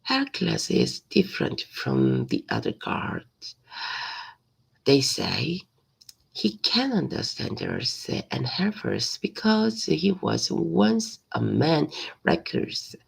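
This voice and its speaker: A woman reading a simple story aloud in a halting, hesitant way, often repeating words. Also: sits low, wildly swooping pitch, vocal fry, breathy edge, low-quality recording. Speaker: language learner reading aloud.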